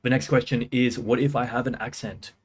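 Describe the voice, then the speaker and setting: A man's voice: medium pitch, steady tone, a little fast, slightly rough. A young man giving casual, confident sales-career advice in a punchy, slangy way. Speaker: music producer and sales coach. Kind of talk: casual talking-head advice.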